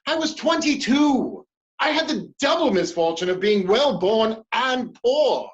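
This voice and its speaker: A woman acts out a proud, ambitious statesman defending himself against ruin in a stage drama. The voice is high and swings widely with emphatic, theatrical feeling. The recording sounds muffled and lo-fi. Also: lively intonation, measured pace. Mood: defensive, agitated. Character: proud ambitious male politician, defiant under threat of ruin. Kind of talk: dramatic reading of a stage play.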